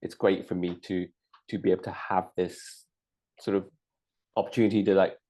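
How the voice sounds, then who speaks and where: A middle-aged man, born in Hong Kong, chatting casually, hesitant with lots of ums and restarts and quick to laugh. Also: deep voice, little pitch movement, dark tone. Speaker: co-host. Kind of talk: casual chat.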